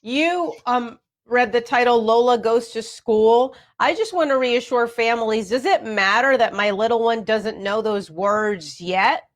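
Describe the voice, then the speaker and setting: A woman's voice: on the high side, unhurried, few pauses. A woman hosting an educational talk for families, speaking in an encouraging, affirming way straight to her listeners. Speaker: radio show host.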